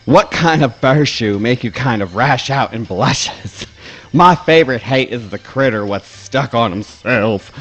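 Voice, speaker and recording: A man's voice: medium pitch, lively intonation, measured pace, a bit bright. A man playing a grumpy, contrary swamp critter who talks in folksy dialect in a comic radio play. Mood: grumbling.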